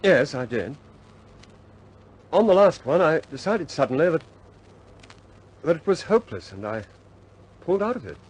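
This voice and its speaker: An earnest young bomber skipper in a 1950s British war film, troubled by self-doubt. The voice is fairly high for a man, husky and very mobile in pitch. He speaks haltingly and with understatement. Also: sing-song, darkish tone, rough recording. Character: conscientious young male RAF squadron leader, self-doubting, earnest.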